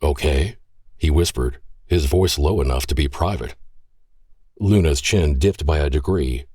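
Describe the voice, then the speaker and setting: A man narrating a scripted audio drama in measured storytelling. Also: extremely low pitch, darkish tone, slightly rough, no room sound, clean studio sound.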